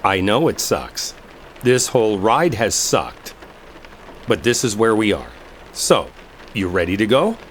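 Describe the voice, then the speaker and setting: A man's voice: lowish voice, measured pace, long pauses. A man acting in a roleplay audio drama as an exasperated, sarcastic guy stranded with a coworker he dislikes. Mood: exasperated, insistent. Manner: sarcastic, heated, swearing. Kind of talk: roleplay audio drama, one side of an argument. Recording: steady rain noise behind voice. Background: rainstorm ambience.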